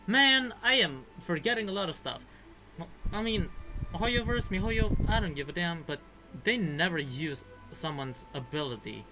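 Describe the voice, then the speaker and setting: A man's voice: sits high, lively intonation, moderate pace, over music, rough recording. A man giving casual, rambling gameplay commentary in an informal manner. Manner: informal, rambling, mild swearing. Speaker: gaming video creator. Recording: clear background noise, clicks, game audio.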